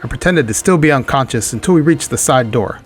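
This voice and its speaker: An actor playing a hard-boiled, wisecracking private detective in 1940s radio drama style, with a wry deadpan delivery. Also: male voice, mid-range voice, a little fast, husky, soft background music. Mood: brooding narration.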